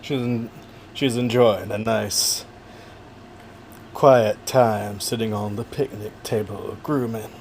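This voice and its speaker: Gentle folksy man narrating. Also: on the low side, fairly even intonation, slow pace, a little hiss or hum. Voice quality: relaxing voice. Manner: gentle, folksy, joking asides. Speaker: narrator of wildlife camera footage. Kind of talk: casual narration over video.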